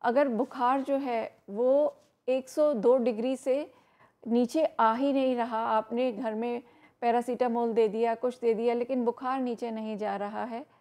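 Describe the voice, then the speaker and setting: A woman giving health advice on an educational program, explaining steadily with frequent pauses and fillers. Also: fairly high pitch, steady tone, warm tone. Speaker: health expert guest. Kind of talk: educational talk on live program.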